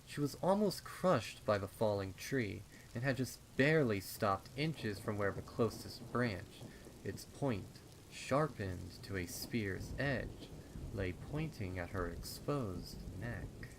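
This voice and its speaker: A man with a fairly low voice narrates a horror tale. He takes it very slowly, with ominous, dramatic phrasing. Rain is clearly heard behind the reading. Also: animated, background noise. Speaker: fan fiction narrator. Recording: rain and storm ambience behind.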